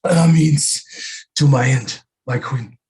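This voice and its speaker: An actor playing a flattering, theatrical male seer and physician to a queen in an audio play, speaking in a grand, courtly, dramatic manner. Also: medium pitch, slow pace, close to an everyday voice. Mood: grave, courtly.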